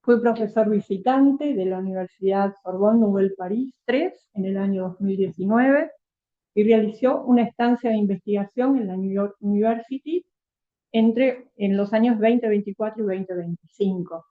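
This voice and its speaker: A woman's voice: mid-range voice, normal speed. A woman with a Rioplatense Spanish accent introducing a guest speaker, reading a bio with fillers and tag questions. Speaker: event host. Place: lecture hall. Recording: tinny phone-like recording.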